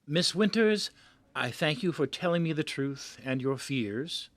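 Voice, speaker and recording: Man acting a pushy, animated magazine boss. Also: a little high, measured pace, no room sound. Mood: coaxing. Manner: theatrical, cajoling, patronizing. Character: pushy, persuasive male magazine editor boss, patronizing. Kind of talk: amateur audio drama acting.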